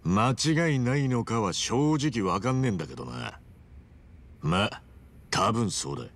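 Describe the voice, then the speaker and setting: A man's voice: mid-range voice, lively intonation, a little slow. A man playing a brash, good-hearted hero in a Japanese game dub, talking bluntly and informally. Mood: guarded, blunt. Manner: blunt, informal, rough-edged speech.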